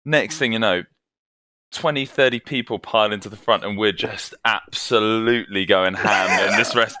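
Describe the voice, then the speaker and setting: A man's voice: on the low side, moderate pace, sharp tone. A man, a band vocalist, chatting on a podcast over a video call, self-deprecating and laughing often as he tells stories. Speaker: guest vocalist. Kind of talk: casual interview storytelling. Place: home, evening.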